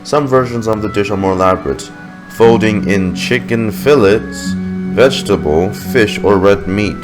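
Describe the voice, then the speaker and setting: Male gaming streamer: low register, laid-back rambling commentary over clear game music. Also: moderate pace. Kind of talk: casual let's-play commentary.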